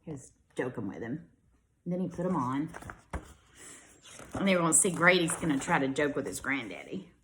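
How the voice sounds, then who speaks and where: Kindergarten teacher type: woman, very lively pitch, expressive storybook reading with chatty asides. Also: a little fast, long pauses, noisy background. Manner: expressive, speaking to young children. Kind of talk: animated storybook read-aloud with casual asides.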